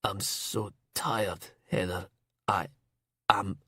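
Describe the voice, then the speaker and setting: A man's voice: lowish voice, fairly even intonation, takes it slowly, pushed and bright, husky, no echo, studio quality, full character voice. Man doing a thin, fading wounded man. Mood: weak. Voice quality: thin, weak.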